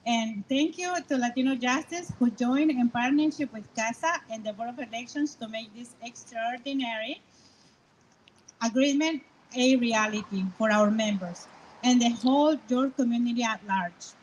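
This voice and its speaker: A woman's voice: fairly high pitch, unhurried, dull audio, a little hiss or hum. A woman hosting a press event, introducing speakers with many fillers. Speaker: host. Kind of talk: emceeing a press event in two languages. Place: outdoor rally.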